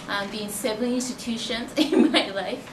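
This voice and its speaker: A woman's voice: on the high side, fairly even intonation, moderate pace. Japanese-accented woman, hesitant, in an echoey room. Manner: hesitant, many uhs. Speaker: postdoctoral fellow. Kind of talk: panel self-introduction. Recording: echoey classroom, distant mic, noisy.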